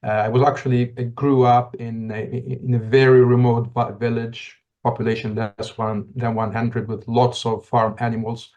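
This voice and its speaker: A middle-aged man from Tunisia, a podcast guest telling his story in a reflective, halting way, with many restarts. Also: medium pitch, flat delivery, darkish tone, lo-fi sound. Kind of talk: casual interview talk.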